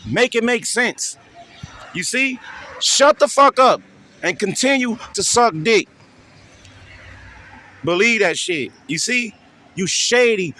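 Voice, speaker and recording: Profane ranting street preacher, raised pitch. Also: male voice, pushed and bright. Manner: repetitive and taunting, keeps asking "you see?".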